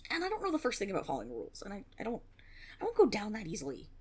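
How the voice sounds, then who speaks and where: Woman playing a husky, flustered young rogue girl. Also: medium pitch, wildly swooping pitch, rapid-fire. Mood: defiant. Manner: stammering, blustering, streetwise. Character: flustered young female tiefling rogue, cocky but insecure. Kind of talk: fantasy roleplay audio drama, one-sided dialogue.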